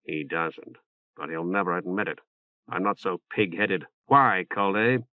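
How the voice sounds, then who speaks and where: Man reading as a smooth, sardonic councillor. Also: sits low, measured pace, no echo, narrow, tinny audio, pitched below the performer's own voice. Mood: scornful. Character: smooth, sardonic, calculating male councillor Loris.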